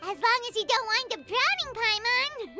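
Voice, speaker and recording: A woman voicing a tiny, cheeky, food-loving fairy companion in an animated video game, excitable and talking about herself in the third person. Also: very high voice, heavily acted. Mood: smug. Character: tiny cheerful female fairy companion who loves food.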